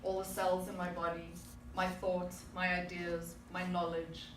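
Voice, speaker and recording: Forties woman, lower voice, speech at a club meeting, reflective and questioning, caught on a distant mic in a noisy, reverberant room. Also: monotone. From South Africa. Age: 40s. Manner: reflective, rhetorical questions with pauses. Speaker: club speaker. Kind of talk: prepared public speech. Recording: echoey room, distant mic, background noise.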